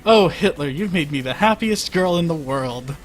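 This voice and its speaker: A man's voice: on the high side, expressive, moderate pace, slight background noise, close to an everyday voice. A man voicing a gushing, lovestruck male deity in a naively earnest, pleading way for a comic story reading.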